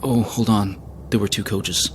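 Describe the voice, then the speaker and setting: A man's voice: fairly low pitch, steady tone, unhurried, lightly acted. Man playing a prim young husband, faint vehicle noise. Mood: bewildered. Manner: mild, prim, gently teasing. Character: earnest, proper, devout young newlywed husband. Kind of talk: naturalistic audio drama acting.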